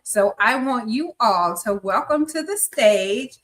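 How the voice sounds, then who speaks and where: A chatty woman in her fifties hosts a live podcast, effusive and encouraging toward her guest. She sits a little high in pitch and takes her time with every phrase. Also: lively intonation, slow. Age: 50s. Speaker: podcast host.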